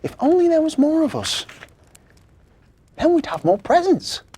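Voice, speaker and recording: Storybook little girl, done by an adult narrator: high, lively, eager, kind and earnest. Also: unhurried, slight background noise.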